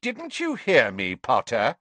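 A man's voice: medium pitch, wildly swooping pitch, slow pace, a bit bright, no echo, pitched above the performer's own voice, exaggerated voice. A cold, contemptuous schoolmaster, performed by a male audiobook reader. He sneers through his words with obstructive relish, in a swooping delivery recorded dry and close. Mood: sneering. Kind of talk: audiobook character dialogue.

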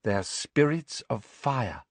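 A man's voice: medium pitch, lively intonation, slow pace, no room sound, voice pushed up. A man voicing a dreamy, odd teenage girl who speaks solemnly and matter-of-factly.

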